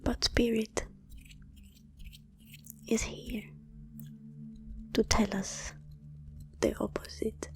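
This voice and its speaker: Very slow whispering woman, ASMR meditation. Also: fairly high pitch, flat delivery. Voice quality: breathy whisper. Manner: hushed, soothing, drawn-out phrases with long pauses. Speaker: ASMR channel host and meditation guide.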